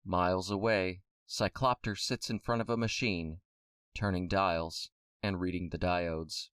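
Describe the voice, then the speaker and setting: A man's voice: sits low, steady tone, a little slow, a bit bright, dry recording, studio quality. A man reading scripted narration for an audio drama. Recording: clearly noisy recording.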